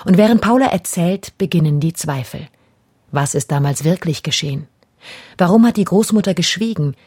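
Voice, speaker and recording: A woman's voice: on the low side, expressive, normal speed, dark tone, husky, no room sound, studio quality. A woman announcer reading a scripted introduction to a radio play in a composed, formal way. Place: dry broadcast studio.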